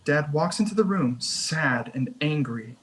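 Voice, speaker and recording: A man's voice: a little high, animated, unhurried, fairly bright tone, breathy edge. A man reading stage directions as the narrator of a screenplay table read.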